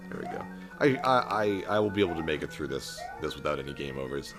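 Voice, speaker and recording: A young man talking casually over a retro game he is playing, in an even, monotone way, with many ums and restarts. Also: a bass voice, quick pace. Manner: self-described monotone, rambling with filler and restarts. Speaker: let's play host. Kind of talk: casual gameplay commentary. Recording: game music playing behind voice.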